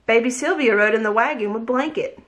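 A woman in her thirties reading a picture book aloud with gentle, expressive storytelling, at home on a webcam. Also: a little high, fairly quick. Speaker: read-aloud host. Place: home room, indoors. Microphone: webcam at desk distance.

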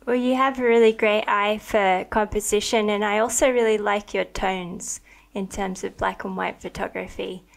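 A young woman hosting a podcast interview, talking in an enthusiastic and thoughtful way with many likes, into a boom-arm mic in a home studio. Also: fairly even intonation, normal speed, creaky. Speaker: podcast host. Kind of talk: conversational interview. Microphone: studio mic on a boom arm with headphones.

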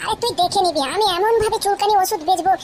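Woman doing a squeaky, fast cartoon village huckster. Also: a little mellow, a little hiss or hum. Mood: scheming eagerness. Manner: boisterous, patter-like street hawking. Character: mischievous cheeky village sidekick hawking cheap ointment. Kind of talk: comic cartoon dialogue.

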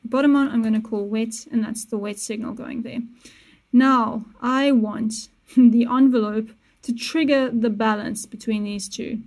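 A young woman walks through music software step by step in an easy, casual way. Her voice is fairly high but mellow and soft-edged. She sounds close to a studio mic in a small treated room. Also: measured pace. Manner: relaxed, step-by-step explaining, self-correcting asides. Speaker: music producer tutorial host. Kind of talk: casual screen-recorded software tutorial. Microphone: studio mic on boom with headphones.

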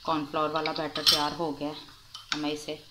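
A woman calmly explaining a recipe step by step in her home kitchen, recorded on a phone held over the stove. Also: deep voice. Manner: calm, unhurried explaining with friendly "chalein ji" prompts. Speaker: cooking channel host. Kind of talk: step-by-step cooking instruction. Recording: loud frying and stirring noise behind the voice.

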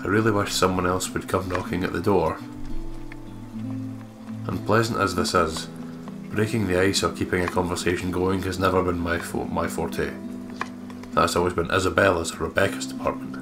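A man's voice: low voice, flat delivery, moderate pace, long pauses, music in the background. A man co-hosting a game playthrough, mixing casual commentary with reading aloud and joking asides. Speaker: co-host of a let's play, reading the on-screen text aloud.

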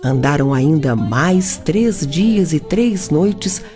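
A man's voice: on the high side, expressive, slow. A Brazilian man narrating a scripted radio drama in a dramatic storytelling manner. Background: music.

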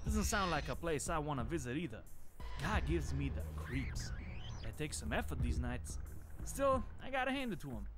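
An actor playing a cynical, streetwise vampire gangster in a video game, talking in tough, confiding slang. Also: male voice, on the high side, big pitch swings, normal speed. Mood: wary. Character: streetwise cynical male vampire gangster, wary, foul-mouthed. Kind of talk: scripted video game dialogue. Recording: game music behind the voice.

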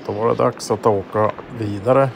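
A fairly low-voiced man talks in a relaxed, chatty way as he films a travel vlog outdoors. His voice comes through a handheld camera mic with clear background noise around it. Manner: relaxed, chatty, talking to family while filming. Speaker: travel vlogger. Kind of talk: casual narration while filming. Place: outdoor mountain viewpoint. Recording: noisy outdoor camera audio.

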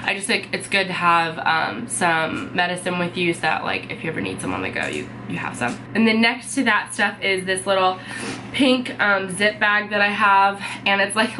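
A woman's voice: lowish voice, background noise. A congested young woman, chatting casually to camera as a beauty vlogger in her bedroom, full of "um" and "like". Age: young adult. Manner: chatty, many fillers like "um" and "like". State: sick and congested. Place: bedroom, indoors.

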